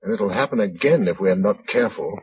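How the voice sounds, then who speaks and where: A man's voice: on the low side, animated, a little fast, a little mellow, slightly rough. An actor with an English accent playing a practical, watchful male survivor in a vintage radio drama. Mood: somber. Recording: dull, noisy old radio recording.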